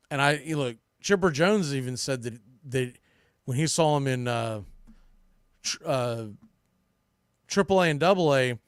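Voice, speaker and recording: A man's voice: mid-range voice, dry recording, studio quality. A man hosting a sports podcast, giving opinionated, unscripted baseball commentary in a blunt, emphatic way, often restarting and repeating phrases.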